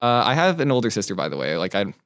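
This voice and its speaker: A young man with a smooth, clear voice, a chef guest on a podcast who grew up in California. He tells his story easygoing and laughs often, recorded close and dry. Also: on the low side, very animated, quick pace, a bit bright, no echo. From born in Taiwan, raised in California. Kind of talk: casual interview chat.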